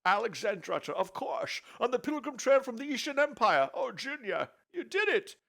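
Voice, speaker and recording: A man's voice: extremely high pitch, big pitch swings, moderate pace, vocal fry, fairly bright tone, voice pushed up, heavily acted. A man voicing an absent-minded elderly scholar father in a fussy, raised voice. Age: older.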